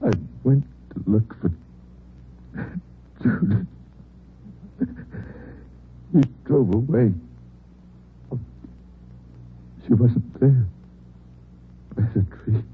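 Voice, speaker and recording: An actor playing a grief-stricken father in deep shock, speaking in halting, broken phrases and repeating himself, in a 1950s radio drama. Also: a little hiss or hum, lightly acted. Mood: breaking down. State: in shock, distraught. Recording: narrow-band old radio broadcast.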